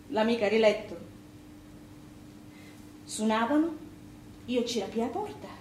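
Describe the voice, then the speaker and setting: An actress playing a bitter, battered Sicilian widow, speaking with a Sicilian accent in an impassioned theatrical monologue that moves between murmur and outcry. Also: female voice, on the high side, warm tone. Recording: roomy theatre sound with background noise.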